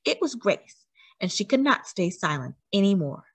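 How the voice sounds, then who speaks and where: A woman reading aloud to children as a storyteller, with an animated, expressive delivery that acts out the story. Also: mid-range voice, normal speed, slightly rough. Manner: animated, acting out sighs and cheers.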